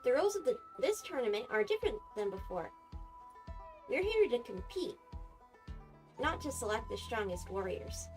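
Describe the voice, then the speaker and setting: Upbeat, animated young-sounding sporty coach. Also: female voice, fairly high pitch. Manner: cheerful, breezy, encouraging. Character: upbeat, confident, sporty female athletics coach. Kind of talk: anime game voice acting.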